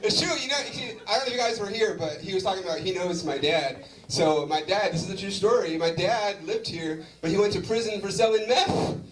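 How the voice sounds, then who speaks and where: A man's voice: high-pitched, fairly quick. A man from Southern California doing a loose, chatty stand-up set and bantering with a live audience. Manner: animated, chatty, loose with fillers, banters with the crowd. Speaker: stand-up comedian. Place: comedy venue before a live audience. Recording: roomy live venue recording from a distance with crowd noise. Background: audience laughter.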